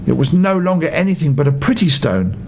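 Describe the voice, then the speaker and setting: An older man narrating a children's bedtime story as an expressive storybook reader. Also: on the high side, a little fast, slight background noise.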